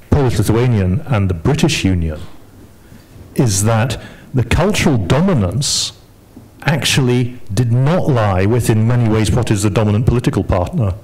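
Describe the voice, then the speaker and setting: A man's voice: on the low side, a little mellow. A man from Scotland, a historian speaking on an academic panel in a lecturing, digressive, emphatic way.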